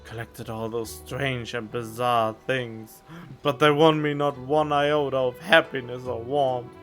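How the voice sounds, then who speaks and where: A man's voice: mid-range voice, steady tone, unhurried, fairly bright tone, soft background music, lightly acted. A man voicing a wounded, dying man full of regret, reading game text aloud in a dramatic, pained way. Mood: bitter regret.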